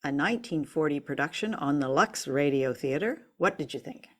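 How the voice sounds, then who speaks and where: Low-voiced woman chatting as a co-host. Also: animated, normal speed. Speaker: podcast co-host. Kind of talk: casual discussion of old-time radio.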